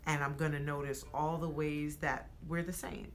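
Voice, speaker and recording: Low-voiced, emphatic American woman. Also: moderate pace, slight background noise. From United States. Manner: animated, emphatic, rising to excited peaks; acts out quoted speech. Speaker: podcast co-host. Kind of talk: casual conversation.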